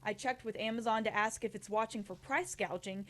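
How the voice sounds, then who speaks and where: A woman's voice: on the high side, brisk, a bit bright. A woman reporter narrating a scripted TV news report.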